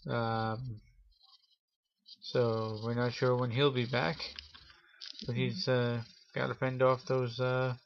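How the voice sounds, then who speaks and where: Slow-talking man telling spooky stories, lo-fi. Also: mid-range voice, monotone. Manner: theatrical showman address with drawn-out pauses. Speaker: host of a Halloween story show. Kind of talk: spooky storytelling to an audience. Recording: dull lo-fi audio with clear noise.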